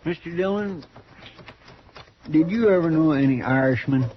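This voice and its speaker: An actor playing an earnest, naive frontier deputy with an American rural drawl, deferential and rambling, in a 1950s radio western. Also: male voice, fairly high pitch, normal speed, lightly acted. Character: folksy, earnest, naive marshal's deputy in Old West. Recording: muffled, noisy old radio recording.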